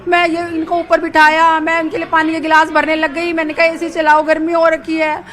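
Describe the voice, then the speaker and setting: Very high, piercing distraught older woman. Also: completely flat delivery, fairly quick, fairly bright tone, a little hiss or hum. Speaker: bereaved mother being interviewed. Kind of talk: emotional eyewitness account.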